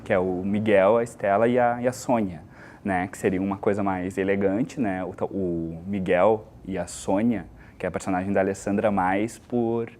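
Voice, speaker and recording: A man's voice: sits low, fairly even intonation, moderate pace, darkish tone, a little hiss or hum. A young man talking informally in an interview as an actor.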